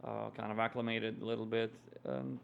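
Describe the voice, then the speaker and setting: Gravelly-voiced man telling stories. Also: fairly low pitch, normal speed, narrow, tinny audio, a little hiss or hum. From Ukraine. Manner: relaxed storytelling with frequent fillers. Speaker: guest musician. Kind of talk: casual interview chat.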